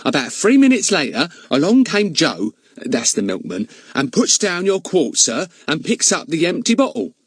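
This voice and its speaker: A man's voice: a little high, very animated, normal speed, few pauses, husky, no echo, studio quality, pitched above the performer's own voice, heavily acted. A man voicing a talkative, easily offended older female housekeeper who keeps calling her employer sir. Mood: chatty. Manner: gossipy, deferential, many a "sir". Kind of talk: audiobook character voice.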